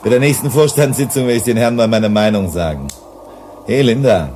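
A man's voice: mid-range voice, brisk, a little mellow, a little hiss or hum, close to an everyday voice. An actor playing an impatient, disgruntled male company boss in a German science-fiction radio drama. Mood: irritated. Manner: disgruntled, authoritative.

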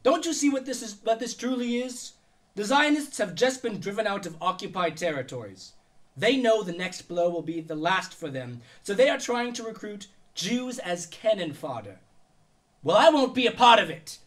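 A man's voice: high-pitched, expressive, normal speed, a bit bright. A man playing a defiant, distrustful young son arguing in an audio drama. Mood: angry, defiant. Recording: slightly distant from mic.

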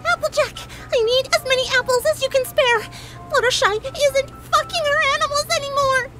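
A woman voicing a bubbly, naive young pink cartoon pony who rambles excitedly. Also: very high voice, moderate pace, voice pushed up. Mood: urgent. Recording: loud music behind the voice.

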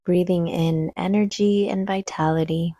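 A woman guides a meditation in a slightly low, mellow voice. Her delivery is calm and very slow, giving each gentle instruction room to settle. The sound is dry and close, free of room echo. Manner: calm, soothing, unhurried instruction.

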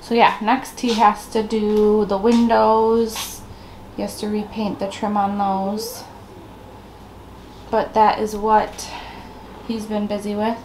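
Raspy woman vlogging at home, unhurried. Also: mid-range voice, little pitch movement, darkish tone, a little hiss or hum, rough recording. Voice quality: raspy morning voice. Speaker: family vlogger and mother. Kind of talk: casual chatty day-in-the-life vlog. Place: rooms at home, indoors. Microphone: camera at arm's length, sometimes set down.

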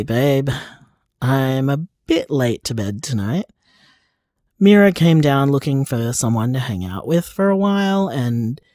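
An actor playing an affectionate, easygoing boyfriend in an audio drama, chatting reflectively in a late-night voice message. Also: male voice, mid-range voice, a little mellow, no echo, clean studio sound, exaggerated voice.

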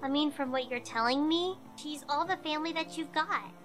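A woman voicing a spirited, feisty young female royal in an animated drama, frank in manner. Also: sits high, moderate pace, soft background music. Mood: frank, sympathetic.

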